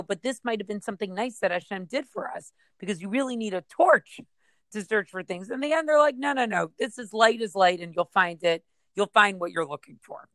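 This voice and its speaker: A woman's voice: mid-range voice, a bit bright, no room sound. A woman reading aloud from a Talmud passage and explaining it in casual study talk, thinking aloud with many fillers, self-corrections and a frequent "right?". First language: English. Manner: reads Aramaic passages then paraphrases. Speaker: study partner.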